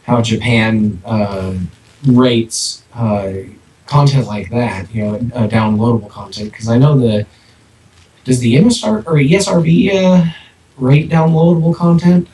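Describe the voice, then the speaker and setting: A man co-hosting a podcast, talking casually and haltingly, repeating words as he thinks aloud, with echoey audio. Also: medium pitch, fairly even intonation, mellow. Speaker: podcast co-host. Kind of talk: casual, unscripted discussion. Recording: echoey, distant mic, background noise.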